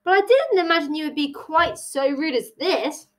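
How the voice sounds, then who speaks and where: Lady being a worried earnest kid in a storybook, high voice, very up and down. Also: very animated, normal speed. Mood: indignant. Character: earnest, anxious young girl, children's storybook heroine.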